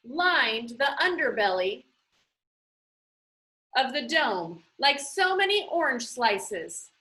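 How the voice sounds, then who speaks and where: A woman narrates a children's novel in a high-pitched voice with a bright, forward tone. Her read-aloud delivery is deliberate and expressive, with each phrase carefully set out for listeners. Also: rough recording.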